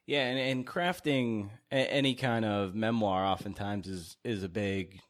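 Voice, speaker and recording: Man, low pitch, podcast interviewer, conversational, halting phrasing, rephrases questions.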